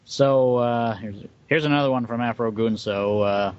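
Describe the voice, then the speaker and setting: A man's voice: medium pitch, moderate pace, a little mellow, clean tone. A man chatting casually as a podcast panelist and reading out questions, on a dull lo-fi voice chat.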